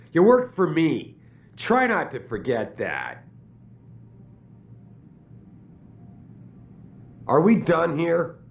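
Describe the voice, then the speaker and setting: An actor playing a stern, guarded corporate boss in a video game, speaking firmly and persuasively. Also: male voice, a little high, creaky, full character voice. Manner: firm, defensive, persuasive. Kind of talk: dramatic video game dialogue.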